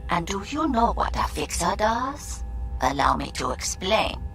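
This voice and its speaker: A woman playing a shrewd older fixer, dry, imperious and deliberate, with formal phrasing in a video game. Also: mid-range voice, big pitch swings, creaky, fairly bright tone, a little hiss or hum, heavily acted. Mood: stern, warning.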